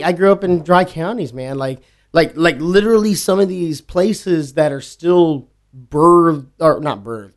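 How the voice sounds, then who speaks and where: Man, Texas accent, fairly high pitch, casual conversation, halting, repeated words. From small town in Texas, USA. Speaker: interview guest in the drinks industry.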